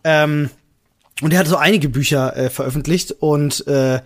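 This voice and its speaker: A man's voice: fairly high pitch, moderate pace. A man co-hosting a podcast in casual chat, talking enthusiastically and leaning on emphatic, repeated intensifiers. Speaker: podcast co-host.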